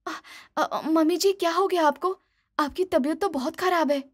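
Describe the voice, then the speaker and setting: A woman's voice: very high voice, moderate pace, pitched above the performer's own voice. A woman voicing a stubborn young daughter-in-law with whiny, dismissive protests in a cartoon moral story. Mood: worried, flustered. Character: stubborn, breezy young daughter-in-law who loves corn.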